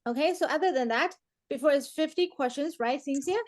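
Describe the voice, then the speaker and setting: High-pitched playful woman teacher in her forties. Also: brisk, no echo, studio quality. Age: 40s. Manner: playful, teasing, often chuckling. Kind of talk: interactive online class chat.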